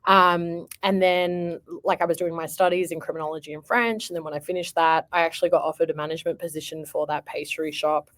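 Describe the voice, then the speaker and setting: Chatty Australian woman, lowish voice. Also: little pitch movement, fast, few pauses. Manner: chatty, laughs often, lots of fillers. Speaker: guest. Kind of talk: casual interview chat.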